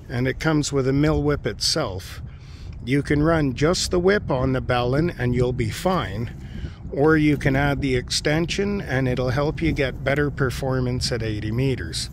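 A man talks through his gear outdoors in an informal, explanatory way. His voice sits at an average pitch and his delivery is matter-of-fact. Clear outdoor noise runs behind him. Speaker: amateur radio hobbyist presenting gear. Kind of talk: informal gear walkthrough narration. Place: outdoors on a lawn.

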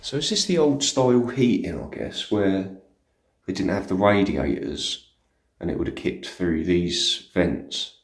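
A man's voice: low-pitched, unhurried. A man hosting an exploring vlog, walking through an empty house indoors and marvelling to his viewers as he goes. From England. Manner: excited, marvelling asides to viewers. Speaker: urban explorer host. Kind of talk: walkthrough vlog narration.

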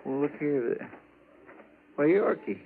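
A man with an American accent playing a curious, folksy frontier lawman's sidekick in a radio western, with a creaky voice. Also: fairly high pitch, lively intonation, measured pace, narrow, tinny audio. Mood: surprised, curious. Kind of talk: 1950s radio western acting. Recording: thin 1950s radio, faint noise.

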